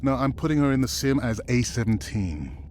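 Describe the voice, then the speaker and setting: A man's voice: medium pitch, big pitch swings, brisk, a little mellow, a little hiss or hum, natural voice. A man playing a dry, cautious and caring male science colleague in a naturalistic video game performance. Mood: dry teasing.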